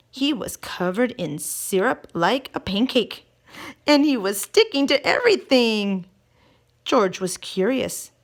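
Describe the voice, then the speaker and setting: A woman reading a picture book aloud to children in an expressive way, drawing out key words and putting questions to her listeners. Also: a little high, gravelly.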